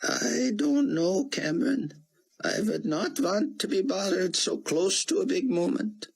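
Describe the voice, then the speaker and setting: A man's voice: high-pitched, lively intonation, measured pace, creaky, breathy edge, voice pushed up, heavily acted. A man voicing a kindly, cautious older scientist. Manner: gentle, protective.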